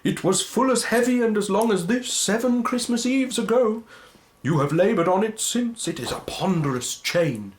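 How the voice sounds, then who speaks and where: A man voicing a tormented male ghost in chains, with a high, quavering, drawn-out wail. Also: expressive. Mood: grave.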